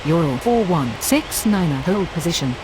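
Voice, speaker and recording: Over clear background noise, a woman makes aviation radio calls. Her voice is slightly low and moves around in pitch. The wording is clipped and procedural. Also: expressive, normal speed. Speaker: airline pilot and air traffic controller radio voice. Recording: clearly noisy audio.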